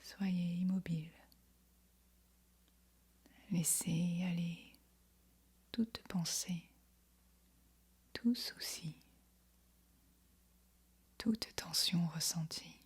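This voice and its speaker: A woman guiding a yoga nidra meditation in a breathy, whispery, husky voice, speaking softly and soothingly with long pauses. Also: lowish voice. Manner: soft, soothing, unhurried instruction with long pauses. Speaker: meditation guide.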